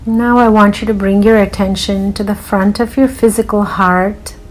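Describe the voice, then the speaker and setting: A woman leading a guided meditation in a soothing, unhurried manner with long pauses. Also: medium pitch, steady tone, faint music behind, poor audio.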